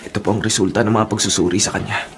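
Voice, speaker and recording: A man's voice: on the low side, fairly even intonation, fast talker, fairly bright tone. An actor playing a humble, desperate father pleading for his sick child in a Filipino radio drama. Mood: anxious. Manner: pleading, deferential.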